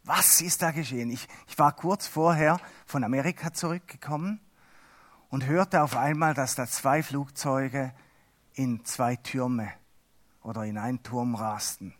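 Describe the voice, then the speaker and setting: A middle-aged Swiss man whose first language is Swiss German, giving a chatty, halting lay sermon with self-mocking humor. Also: medium pitch. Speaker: lay preacher.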